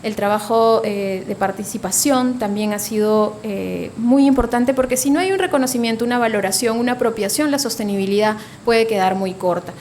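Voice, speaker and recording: A woman presenting at a conference panel, building her points in measured lists. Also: on the high side, a little mellow, a little hiss or hum. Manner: measured, lists ideas one after another.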